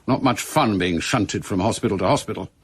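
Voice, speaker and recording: A man acting in a radio drama as a middle-aged British intelligence chief, brisk, authoritative and clipped. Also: fairly low pitch, natural voice. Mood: stern, probing.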